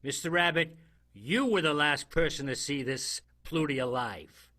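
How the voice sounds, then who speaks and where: A man playing a blustering, bumbling detective in an improvised radio play, halting and repeating himself. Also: on the high side, bright, forceful tone. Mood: suspicious. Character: bumbling, blustering male detective questioning suspects.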